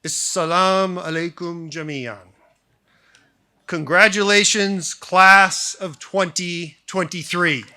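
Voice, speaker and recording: A man in his forties with an American accent, delivering a prepared ceremonial speech in measured phrases with deliberate pauses. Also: high-pitched, steady tone, pushed and bright. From grew up in Japan, then suburban Detroit, US. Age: 40s. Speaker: school faculty member giving a valedictory speech. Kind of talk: prepared formal speech to graduating class.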